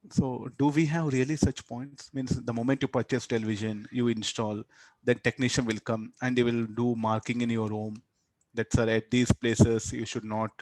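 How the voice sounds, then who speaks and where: A man's voice: medium pitch, dry recording. A man with a South Indian accent teaching physics as an interactive lecture, repeating words and tagging sentences with short questions.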